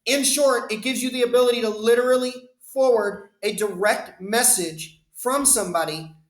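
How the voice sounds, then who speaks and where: High-voiced man demoing phone features. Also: normal speed, echoey room. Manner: conversational, explanatory, addresses viewers directly. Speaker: business phone system consultant. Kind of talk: screen-share product demo. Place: indoor room, webcam inset.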